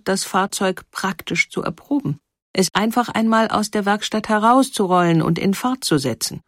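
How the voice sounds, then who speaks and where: A woman's voice: medium pitch, no room sound, clean studio sound. A woman reading a scripted documentary narration in a composed, carefully phrased way.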